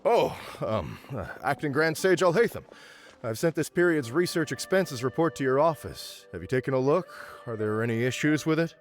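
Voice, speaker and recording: An actor playing a dutiful academy administrator in a video game, formal and measured, deferential yet firm. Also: male voice, on the high side, lively intonation, soft background music, natural voice.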